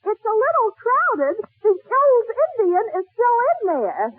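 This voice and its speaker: Through a noisy, tinny 1940s broadcast comes a naive comic chatterbox. Her voice is extremely high and swoops about as she tells family tales in old radio comedy style. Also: huge pitch swings, measured pace, exaggerated voice. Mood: naive, chatty.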